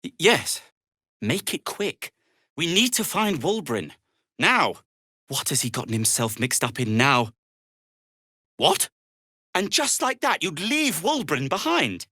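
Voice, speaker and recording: Casting: a sardonic, fussy gnome for a game; prim, exasperated, very animated pitch, close dry sound. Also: male voice, slow, pauses often, no room sound, exaggerated voice. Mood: indignant. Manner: wry, prim, exasperated, formal wording. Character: fussy, sardonic, world-weary male gnome. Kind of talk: video game character dialogue.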